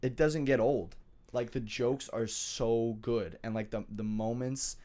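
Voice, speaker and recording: A man's voice: mid-range voice, steady tone, unhurried, mellow. A young man hosting a podcast, talking in a loose, slangy, rambling way with a stuffy nose. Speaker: podcast host. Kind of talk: casual chat.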